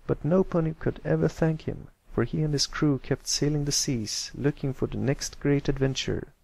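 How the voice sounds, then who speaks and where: A man's voice: fairly high pitch, fairly even intonation, moderate pace, gravelly, a little mellow, pitched above the performer's own voice, rough recording. A man voicing an earnest pony telling a heroic tale in a dramatic storybook manner.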